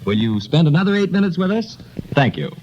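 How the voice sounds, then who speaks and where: A man's voice: a little high, very animated, a little fast, a little hiss or hum. A man announcing and interviewing in a scripted 1930s radio style, formal and courteous. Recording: dull lo-fi 1930s radio transcription.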